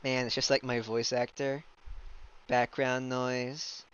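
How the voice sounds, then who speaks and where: A man's voice: mid-range voice, flat delivery, takes it slowly, fairly bright tone, clean tone, muffled recording. A man hosting a casual stream chat, goofy and rambling, laughing often. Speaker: streamer host.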